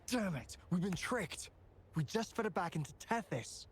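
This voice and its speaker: A brisk wary agent in a game, really animated pitch, ambient noise behind him. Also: male voice. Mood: alarmed. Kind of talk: video game voice acting. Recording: clear background ambience under game dialogue.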